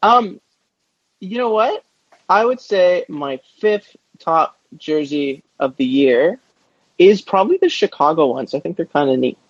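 A man's voice: fairly high pitch, animated, unhurried, breathy edge, no room sound. A man co-hosting a casual podcast, easygoing and a little hesitant. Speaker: podcast co-host. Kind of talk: casual chat. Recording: slightly dull dry recording.

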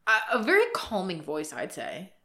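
A woman co-hosting a casual podcast, her pitch bouncing all over as she talks. She strings thoughts together with plenty of "like" and restarts. Her tone has a slightly nasal, congested edge. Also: huge pitch swings, moderate pace, vocal fry, crowd noise. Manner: chatty, trailing filler words. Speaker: podcast co-host. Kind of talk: casual chat.

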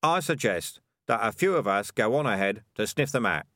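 A calm, courteous rat leader who speaks in measured tones, as voiced by a male storyteller. The reading is close and dry, with the cadence of a storybook told aloud. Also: fairly low pitch, dry recording.

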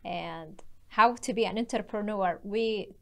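A woman's voice: mid-range voice, measured pace, creaky. A woman co-hosting a casual podcast, opening the show with brief friendly remarks. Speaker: podcast co-host.

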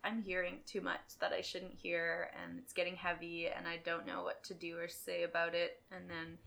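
A woman's voice: on the low side, monotone, moderate pace, gravelly, bright, forceful tone. A young adult woman from Quebec, a podcast guest, talking candidly and casually about her past, laughing often and swearing now and then. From Quebec, Canada. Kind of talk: casual conversational storytelling.

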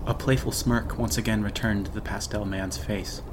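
A man's voice: lowish voice, steady tone, moderate pace, background noise. A man narrating a horror story in a dramatic radio drama style. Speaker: narrator and actor.

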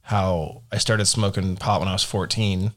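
A man's voice: deep voice, fairly even intonation, moderate pace, fairly bright tone. A man hosting a studio podcast interview, friendly and affirming, laughing easily as he asks about his guest's story. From born in Dallas. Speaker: podcast host. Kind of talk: casual interview.

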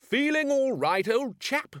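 A man doing a booming, bluff old archchancellor wizard. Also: big pitch swings, moderate pace, no room sound, clean studio sound. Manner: loud, hearty, blustering.

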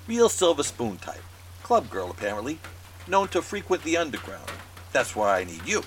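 An actor playing an exasperated, pleading old friend who brings a shady job, in a noir audio drama. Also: male voice, fairly high pitch, huge pitch swings, moderate pace, a little hiss or hum, poor audio. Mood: urgent, persuasive.

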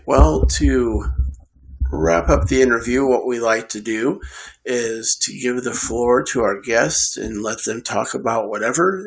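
Gravelly-voiced man hosting an interview. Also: mid-range voice, a bit bright, low-quality recording. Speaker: podcast host interviewing a guest. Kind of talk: conversational interview.

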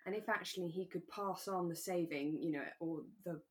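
A woman's voice: fairly low pitch, moderate pace. A woman co-hosting a podcast in casual conversation, reasoning aloud as she talks. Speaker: podcast co-host. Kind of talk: casual chat about a radio drama.

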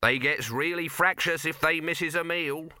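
A man acts a grumbling camera-crank tradesman in a comic storybook. He is matter-of-fact and proud, with rough grammar and a raised, bright pitch. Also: measured pace, no echo, clean studio sound. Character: grumbling working-class handleman, proud tradesman.

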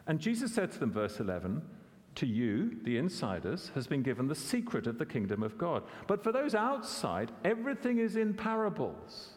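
A man's voice: fairly high pitch, wildly swooping pitch, normal speed. Guy giving a sermon, voice goes up and down a lot, big echoey church hall. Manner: conversational, asks questions, self-corrects with repeats. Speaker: preacher. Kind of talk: expository sermon.

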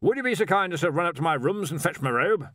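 A man voicing a pompous, blustering old wizard who heads a university, with a booming, imperious manner, in a storybook reading. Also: animated, fast, fairly bright tone, dry recording, clean studio sound. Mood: strained politeness.

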